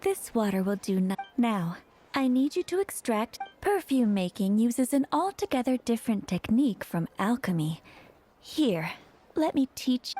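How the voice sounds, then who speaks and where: A woman voicing a teasing, bossy female perfume master in a video game, giving measured instructions. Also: on the high side, darkish tone, slight background noise. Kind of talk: video game character voice acting.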